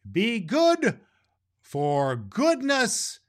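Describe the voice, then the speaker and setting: A man's voice: very high voice, huge pitch swings, very slow. A man hosting a children's podcast in the role of Santa Claus, reading a storybook chapter aloud with grandfatherly chuckles.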